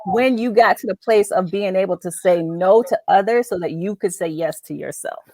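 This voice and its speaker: A woman's voice: mid-range voice, normal speed, a little mellow. A woman with a mid-range voice hosts a faith-based conversation. She is emphatic and affirming, often repeating a phrase to drive it home. The sound is dry and close, without room echo. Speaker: talk show host.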